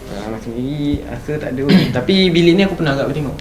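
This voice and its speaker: A man's voice: mid-range voice, lively intonation, racing, crowd noise. Young Malaysian man in a roomy space. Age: young adult. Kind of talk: casual ghost-story chat. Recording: roomy, distant mic, faint music.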